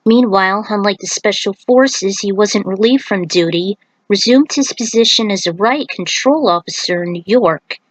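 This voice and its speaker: A woman's voice: mid-range voice, normal speed, few pauses, no room sound, rough recording. A woman narrating fan fiction as its writer, in an informal amateur reading style with small stumbles and self-corrections. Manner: informal, stumbles over words and corrects herself. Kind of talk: amateur story reading with casual author commentary.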